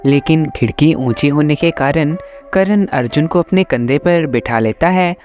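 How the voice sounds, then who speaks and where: A man narrates a scripted story in a somewhat high voice. Music plays behind him as he tells it. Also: a little slow, darkish tone. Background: background music.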